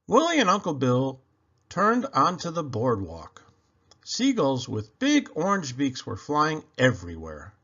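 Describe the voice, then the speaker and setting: A man reading a children's book aloud with expressive, emphatic delivery. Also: mid-range voice, a little slow, muffled recording. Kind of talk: animated storybook read-aloud narration.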